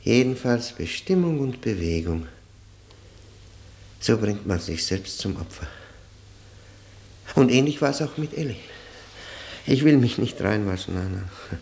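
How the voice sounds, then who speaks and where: Low-voiced tormented man confessing. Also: lively intonation, creaky, a little mellow. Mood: bitter, brooding, then agitated, accusing. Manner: brooding, rhetorical monologue with halting repetitions. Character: tormented man confessing his ruined marriage, bitter and impassioned. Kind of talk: 1960 German radio drama acting.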